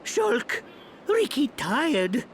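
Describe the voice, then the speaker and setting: A woman's voice: high-pitched, sing-song, very slow, a little hiss or hum, heavily acted. A woman voicing a cute, childlike little game creature that speaks in broken, simple third-person sentences. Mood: weary. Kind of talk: fantasy game character acting.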